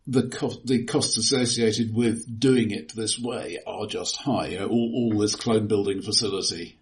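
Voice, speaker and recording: A man co-hosting a casual film discussion, speaking in a hesitant, halting, analytical way. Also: lowish voice, fairly even intonation. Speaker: podcast co-host.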